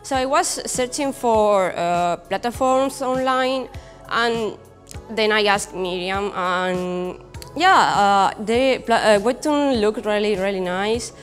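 A young woman from Spain with a Spanish accent, answering interview questions casually and haltingly as she searches for words. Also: mid-range voice, big-room sound. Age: young adult. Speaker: comic creator being interviewed. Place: indoor brick-walled studio set. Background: music.

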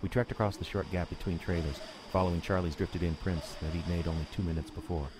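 A man's voice: deep voice, steady tone, fast talker, close to an everyday voice. An actor playing an uneasy working man who narrates his own snowbound horror story in a dramatic, brooding way. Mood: brooding dread. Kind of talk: first-person radio horror narration. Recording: noisy storm ambience under the voice.

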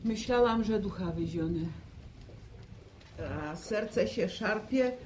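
A woman's voice: medium pitch, darkish tone, a little hiss or hum, lightly acted. An actress playing a tired, practical middle-aged farm mother who sighs and grumbles, in a vintage radio drama. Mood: weary. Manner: sighing, complaining, brisk. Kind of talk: 1950s radio drama acting.

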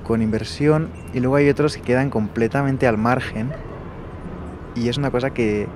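A young man from Spain with a Barcelona Spanish accent, telling his travel diary in a calm, thoughtful and personal way. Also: mid-range voice, normal speed, a little hiss or hum. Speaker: travel vlogger. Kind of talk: reflective travel diary narration. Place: small bedroom in a hostel.

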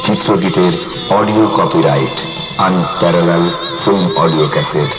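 A man's voice: sits low, normal speed. A man giving a grand, declamatory scripted film announcement, heard from cassette playback. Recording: dull echoey cassette playback, loud music.

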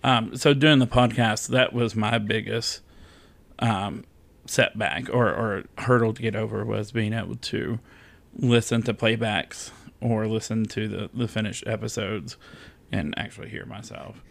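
A man's voice: lowish voice, fairly even intonation, a little slow, vocal fry. A man from the American South, a podcast guest talking candidly and with self-deprecating humor, using many fillers. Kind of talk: casual interview chat.

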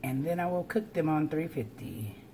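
A woman explains each step informally, as in a casual cooking vlog. She is recorded at home in a kitchen, with clear background noise of frying and clattering dishes. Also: sits low, lively intonation, moderate pace, warm tone. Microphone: camera on counter or at arm's length.

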